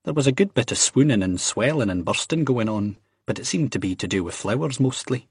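Man playing a cheeky young Scotsman, pitched up. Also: lively intonation, brisk, husky, no room sound, studio quality. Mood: indignant banter. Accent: Scottish. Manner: blunt, insistent, bantering. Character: earnest, cheeky, loyal young Scottish man.

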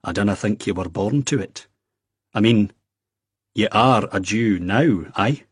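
A man's voice: fairly low pitch, a little slow, husky, dry recording. A man doing a blunt young Scotsman. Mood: wary probing. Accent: Scottish. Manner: careful, dry, politely probing.

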